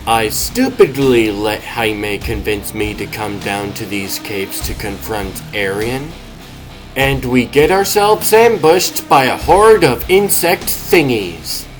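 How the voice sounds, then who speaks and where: A man plays a washed-up middle-aged superhero from a comic dub. He grumbles to himself with sardonic, self-deprecating wit at an unhurried pace, with music behind. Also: medium pitch. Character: wry, self-deprecating washed-up male superhero with heart trouble.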